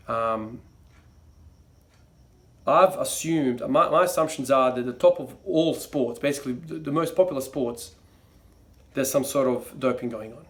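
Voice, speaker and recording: A young man, talking to camera about his views. He rambles loosely, with plenty of fillers, false starts and trailing asides. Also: mid-range voice. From Croatia. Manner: rambling, many fillers and restarts. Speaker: fitness vlogger. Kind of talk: casual monologue to camera.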